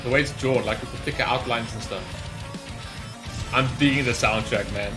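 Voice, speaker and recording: A man giving casual, excitable and rambling reaction commentary as host of a gaming video. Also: medium pitch, normal speed, over music.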